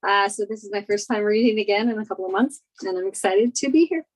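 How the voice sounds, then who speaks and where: A woman's voice: medium pitch, fast, low-quality recording. A woman casually introducing herself as an actor on a video call.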